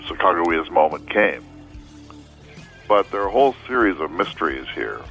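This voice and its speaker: A man speaking as a history expert, telling a story in deliberate phrases broken by pauses. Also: low voice, big pitch swings, a bit bright, muffled recording. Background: music.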